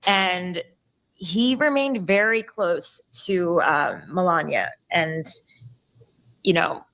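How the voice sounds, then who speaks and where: A woman's voice: lowish voice, takes it slowly, a bit bright, low-quality recording. A woman journalist in a casual podcast conversation, choosing her words carefully and hesitantly. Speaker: investigative journalist.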